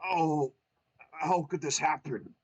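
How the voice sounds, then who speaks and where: A man's voice: a little high, very animated, takes it slowly, vocal fry, a bit bright, breathy edge, muffled recording, voice pushed up, full character voice. A man voicing a gloomy, grieving male plumber hero who speaks mournfully. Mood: glum.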